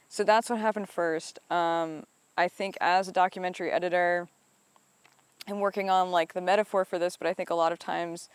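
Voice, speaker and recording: A woman from upstate New York talks through her editing process in a reflective, hedging way, her voice smooth and clear. The sound is dry and close, with no room around it. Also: lowish voice, no room sound. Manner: thoughtful, hedging with "I think" and "you know". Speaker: documentary director and editor. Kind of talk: reflective interview answers.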